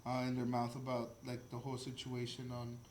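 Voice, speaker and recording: An echoey room with faint noise frames a man in his early twenties. He hosts a casual podcast at an average male pitch, speaking informally and hesitantly, with some swearing. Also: monotone, a little fast. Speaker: podcast host. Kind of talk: casual group podcast chat.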